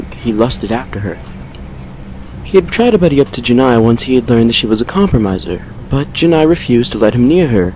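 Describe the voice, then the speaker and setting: A man acts out a composed male vampire lord in a fan audio drama. He speaks at a middle pitch in measured, formal phrases, courteous and secretive, as if confiding an old tale. A faint noise sits under the recording. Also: a little mellow, lightly acted, poor audio. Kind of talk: dramatic character dialogue and storytelling.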